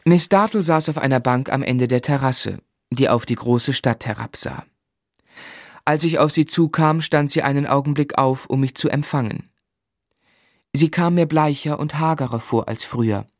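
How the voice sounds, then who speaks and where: Dry-sounding, earnest young gentleman narrator played by a man. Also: mid-range voice, dry recording, lightly acted. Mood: reflective. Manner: measured, reflective, formally polite. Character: earnest, restrained young gentleman telling his life story. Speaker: narrator and protagonist. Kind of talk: literary radio play narration.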